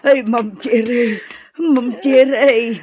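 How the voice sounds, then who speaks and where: A woman's voice: on the high side, steady tone, extremely slow, a crowd in the background. A woman playing an anxious, solicitous housemaid in a radio drama, speaking with an Ilocano accent. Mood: worried. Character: fussing, anxious housemaid tending to her madam. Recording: dull lo-fi radio sound. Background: music.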